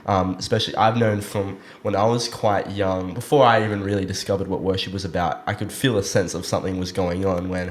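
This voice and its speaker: Low-voiced podcast host. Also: male voice, flat delivery, brisk, hardly any pauses. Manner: earnest, many "um" fillers. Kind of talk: casual podcast interview.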